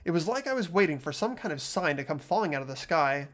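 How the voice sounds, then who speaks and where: Animated man hosting a game playthrough. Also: a little high, quick pace. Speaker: playthrough host and narrator. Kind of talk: game narration and casual chat.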